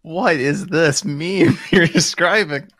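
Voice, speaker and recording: A man's voice: a little high, slow pace, fairly bright tone, no echo, clean studio sound. A man bantering casually on a podcast in a joking manner. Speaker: podcast panelist.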